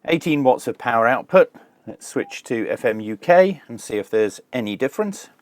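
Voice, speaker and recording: A man with a mid-pitched voice talks through a hands-on technical demonstration. His delivery is measured and informal, explaining as he works, like the host of a review channel. The sound is dry, with very little room in it. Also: animated, darkish tone, dry recording.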